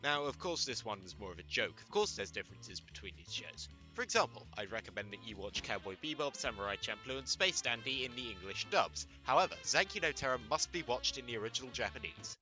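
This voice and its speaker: A man's voice: medium pitch, sing-song, fast talker, few pauses, rough recording. A man hosting a scripted comedic commentary in a sarcastic, mock-exasperated, sweary way. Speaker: host of an anime commentary video channel. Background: music under the voice.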